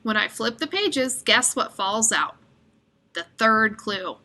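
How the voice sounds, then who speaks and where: Animated, bright-voiced woman reading a storybook. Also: fairly high pitch, slow pace. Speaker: storybook reader. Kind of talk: expressive children's read-aloud.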